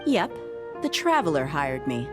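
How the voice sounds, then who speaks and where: A woman playing a brash, casual mercenary in an anime game, speaking confidently in a blunt, informal, expressive way. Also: unhurried, over music. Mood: teasing. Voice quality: low, confident.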